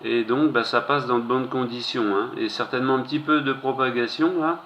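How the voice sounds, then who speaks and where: A man's voice: medium pitch, fairly even intonation, fairly quick, fairly bright tone. A man chatting casually as an amateur operator over two-way radio, hesitant and piecing his sentences together. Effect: two-way radio transmission. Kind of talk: casual radio contact chat. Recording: dull lo-fi radio, faint noise.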